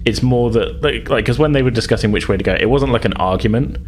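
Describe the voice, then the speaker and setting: A man's voice: mid-range voice, fast, a little hiss or hum. A man running a tabletop roleplaying game, narrating and explaining events in a hesitant, conversational way. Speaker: game master.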